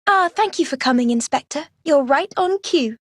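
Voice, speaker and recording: High-voiced poised British lady detective. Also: animated, no room sound, studio quality. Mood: poised, explanatory. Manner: measured, articulate, formal explaining. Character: poised, confident young lady detective unravelling a mystery. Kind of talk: scripted game voice acting. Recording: loud music under the voice.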